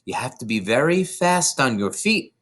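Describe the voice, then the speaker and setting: A man's voice: medium pitch, very animated, moderate pace, fairly bright tone. A man voicing a clever, confident little schoolgirl in a storybook, explaining things emphatically and with certainty. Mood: knowing, emphatic.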